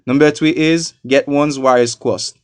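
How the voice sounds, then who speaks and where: A man from Haiti, a non-native English speaker, giving a scripted English lesson with deliberate, explanatory enunciation. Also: medium pitch, steady tone, slight background noise. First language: not a native English speaker. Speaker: English teacher. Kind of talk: scripted educational narration.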